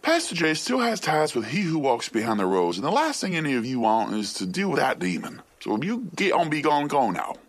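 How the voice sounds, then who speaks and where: A man voicing a jittery, folksy sidekick in a comic audio story, with a husky voice and backwoods talk. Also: on the high side, huge pitch swings, a little fast, hardly any pauses, pitched above the performer's own voice. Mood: stern warning.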